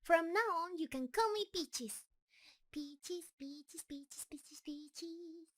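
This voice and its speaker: A woman acts out a gentle giant spider creature for an intimate roleplay audio. The voice is high and very unhurried, with a nervous, halting tenderness. It is doting and shy, talking softly to one beloved listener. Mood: delighted.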